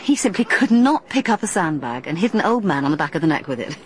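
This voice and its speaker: An actress playing a bold, shrewd young woman in a radio drama, talking briskly and persuasively with plenty of self-assurance. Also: fairly low pitch, very animated. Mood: determined. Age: young adult. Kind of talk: 1980s radio drama acting. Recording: radio play with faint hiss.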